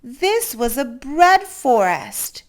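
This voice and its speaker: Very slow, animated woman reading to children. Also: sits high. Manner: theatrical, with gasps and drawn-out emphasis. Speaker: children's story reader. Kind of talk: expressive picture-book read-aloud.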